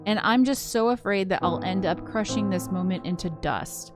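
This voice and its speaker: Woman doing a visual novel read-along, expressive, kinda giggly and casual, music playing behind her. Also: medium pitch, normal speed. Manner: giggly, breaks off into casual asides. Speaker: let's play host reading a visual novel aloud. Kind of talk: expressive read-aloud narration with casual commentary.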